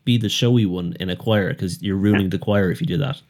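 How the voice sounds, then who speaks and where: Quick-talking low-voiced man. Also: a little mellow. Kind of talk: casual chat.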